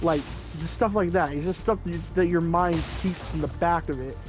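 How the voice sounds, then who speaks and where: A man's voice: a little high, normal speed. A young man hosting a gaming video, rambling in casual commentary full of "like" fillers. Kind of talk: casual let's-play commentary. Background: faint game music.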